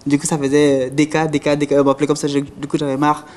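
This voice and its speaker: A man explaining things casually as a studio guest, halting and repeating himself. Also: a little high, monotone, a little mellow. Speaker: guest, music group member. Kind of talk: casual interview chat. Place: television studio set.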